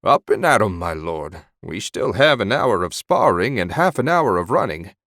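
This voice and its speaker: A man voicing a blunt, gruff veteran sergeant training a young lord in an audiobook. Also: on the low side, big pitch swings, normal speed, a bit bright, dry recording, clean studio sound.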